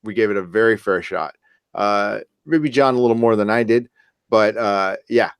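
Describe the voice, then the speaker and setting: A man's voice: on the low side, normal speed, clear, resonant tone, no echo. Guy hosting a fan podcast, chatty and informal, really dry sound no room. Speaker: podcast host. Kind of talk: casual fan podcast discussion.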